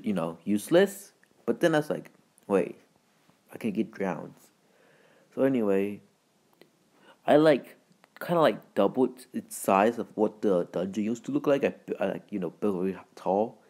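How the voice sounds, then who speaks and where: A man hosting a gaming video with casual, rambling, halting commentary in informal words. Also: fairly low pitch, darkish tone. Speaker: gaming video creator and host.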